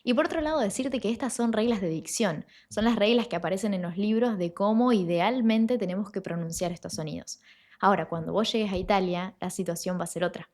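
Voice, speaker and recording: A woman giving an online lesson as an Italian teacher, explaining sounds clearly and slowing down to model them. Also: medium pitch, darkish tone.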